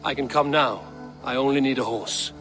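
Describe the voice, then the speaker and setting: A man plays a Viking raider in a game cutscene. He is terse and measured, loyal and stately, at a middling pitch. Music plays faintly underneath. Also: close to an everyday voice. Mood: calm, measured. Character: Eivor, steady loyal Viking raider warrior. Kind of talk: dramatic game cutscene acting.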